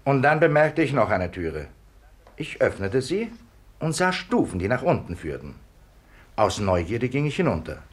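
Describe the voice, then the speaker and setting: An inquisitive army captain in an old radio mystery. He is mild and musing, with a mid-pitched voice and very lively intonation. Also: normal speed, breathy edge. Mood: musing, chatty. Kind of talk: 1950s radio play acting.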